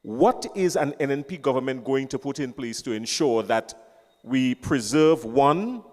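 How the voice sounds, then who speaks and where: The voice rings out with a long hall reverb around it as a man presses an emphatic question at a public meeting. His pitch sits in an ordinary middle range. He stacks his points one after another, each phrase pushed home with firm repetition. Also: animated, a little slow, darkish tone. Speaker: audience member asking a question. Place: town hall meeting venue.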